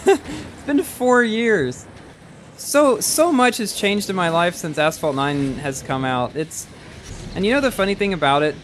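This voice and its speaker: Chatty young man, higher voice. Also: lively intonation, measured pace, a little hiss or hum. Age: early 20s. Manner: rambling and chatty, often repeating words as he restarts. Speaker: gaming livestreamer reading chat. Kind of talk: casual live-stream chat.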